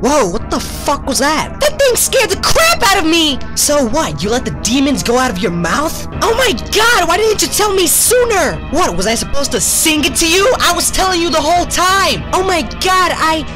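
A woman's voice: high-pitched, very animated, normal speed, few pauses, over music. A woman playing a chatty, flustered teenage girl adventurer in an amateur comedy dub of a fantasy game. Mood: panicked.

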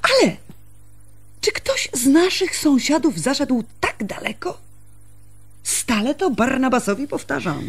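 A brooding village woman in a radio drama. She is husky and very lively in pitch. Her phrasing is dramatic, deliberate and earnest. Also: soft background music, exaggerated voice. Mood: earnest, doubting. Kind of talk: radio play acting.

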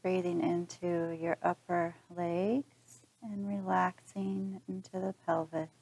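A woman leading a guided body scan relaxation in a calm, gentle, instructional manner. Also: fairly low pitch, takes it slowly, creaky, breathy edge, low-quality recording. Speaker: meditation guide.

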